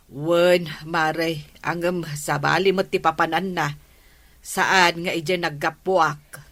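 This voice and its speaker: Fretful ilocano village lady, lowish voice, talks slow, radio comedy. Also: fairly even intonation, a bit bright, dry recording. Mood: neighbourly. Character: homely, fretful village neighbour woman.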